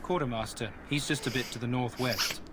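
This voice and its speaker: Dude voicing a chatty gossipy soldier guy in a game, folksy, mid pitch, noisy game audio behind him. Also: fairly even intonation, brisk, close to an everyday voice. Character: chatty male camp soldier, friendly and gossipy. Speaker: soldier in a war camp. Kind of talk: video game voice acting.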